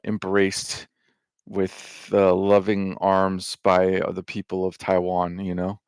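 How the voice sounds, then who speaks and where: A man's voice: low-pitched, creaky, no room sound. A man talking in a loose, meandering monologue, full of fillers, restarts and casual swearing. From United States. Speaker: vlogger.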